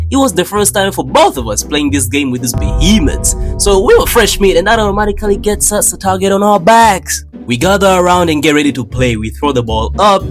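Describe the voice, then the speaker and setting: Young man from Tanzania, comic storyteller, slangy and animated, higher voice, faint music underneath. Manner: animated, slangy, playful delivery with dramatic swings. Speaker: animator narrating his own channel. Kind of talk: comedic storytime narration.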